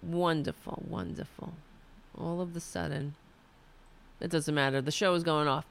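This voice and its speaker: A woman's voice: sits low, steady tone, moderate pace, creaky. A woman hosting a political show, delivering an impassioned, ranting monologue while losing her voice. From United States. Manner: fiery, ranting, restarts mid-sentence.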